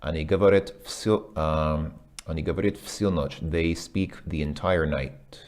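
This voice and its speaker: A man working through a language lesson out loud, hesitant, with many ums and self-corrections. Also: rumbling low, fairly even intonation, measured pace. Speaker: language learner reviewing a lesson. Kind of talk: casual thinking-aloud commentary.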